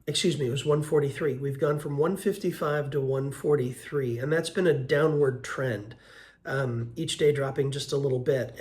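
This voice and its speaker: A man in his fifties, hoarse from a cold, giving an earnest, unhurried vlog update with frequent ums and uhs. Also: mid-range voice. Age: 50s. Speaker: vlogger. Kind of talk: casual weight-loss update vlog.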